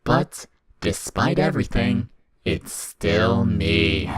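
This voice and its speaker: Otherworldly evil child, played by a man: lowish, deliberate, coldly formal, heavy layered murmuring behind every line. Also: lively intonation, a crowd in the background. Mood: cold, reasonable. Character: sinister otherworldly demon child, boy, eerie and coldly formal.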